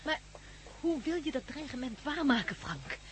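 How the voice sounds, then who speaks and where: An actress playing an anxious, doubting gang accomplice in a radio drama, nervous and excitable. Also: female voice, sits high, moderate pace, background noise. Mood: doubtful, uneasy.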